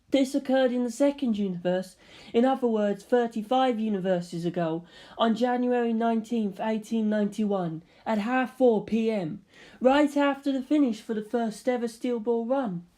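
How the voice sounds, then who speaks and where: Earnest, formal male leader, pitched up by a narrator. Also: dark tone, lightly acted. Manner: formal, precise, urgent. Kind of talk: dramatic audiobook character acting.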